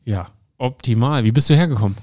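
A man hosting a sports team podcast, asking casual, quick follow-up questions in an interview. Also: medium pitch, a little mellow, no echo, clean studio sound. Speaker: podcast host.

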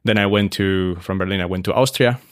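A man, a hotel expert talking relaxed in an interview, with many fillers and restarts. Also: sits low, brisk, a little hiss or hum. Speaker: hotel operations expert, guest. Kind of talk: casual interview conversation.

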